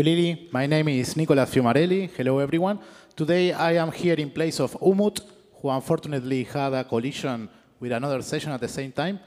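A man's voice: a little high, a little mellow. A man giving prepared, formal remarks from his laptop into a handheld microphone at a youth conference panel. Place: large conference hall. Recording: reverberant conference hall.

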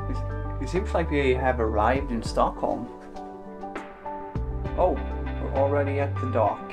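A man in his forties vlogging casually to a handheld camera outdoors and in a van, relaxed and rambling with many fillers and restarts. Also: medium pitch, measured pace, music in the background. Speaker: travel vlogger. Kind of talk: casual vlog narration to camera. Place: outdoors in a parking lot and inside a van. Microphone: handheld camera at arm's length.

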